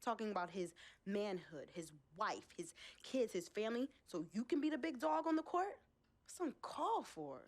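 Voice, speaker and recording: A woman's voice: medium pitch, sing-song, moderate pace, a little mellow. A woman playing a young sports manager, a protective, blunt twin sister with a no-nonsense way of talking in a game drama. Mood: indignant. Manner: frank, no-nonsense. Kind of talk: dramatic cutscene dialogue.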